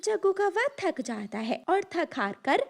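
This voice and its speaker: High-pitched woman narrating a children's story. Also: sing-song, unhurried, creaky.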